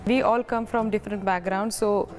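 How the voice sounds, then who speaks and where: A woman's voice: mid-range voice, fairly even intonation, normal speed, slight background noise. A woman from India, a student, talking earnestly about teamwork and field interviews with small hesitations. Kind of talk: interview comments.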